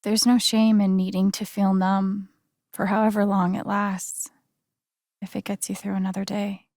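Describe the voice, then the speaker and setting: A woman's voice: medium pitch, level tone, moderate pace, a little mellow, dry recording, studio quality, lightly acted. An actress playing an earnest, caring and determined young woman in naturalistic, conversational video game dialogue. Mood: hushed, sorry. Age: young adult.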